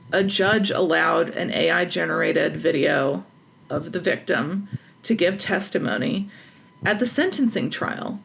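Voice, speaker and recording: A woman's voice: lowish voice, gravelly, a bit bright, a little hiss or hum. An American woman talking casually and emphatically as an interview guest, giving opinions and repeating phrases for stress. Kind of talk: casual, opinionated creative talk.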